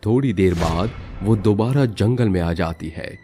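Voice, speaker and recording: A man narrating a scripted horror story for a cartoon storybook channel, steady and dramatic. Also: on the low side, measured pace, over loud music.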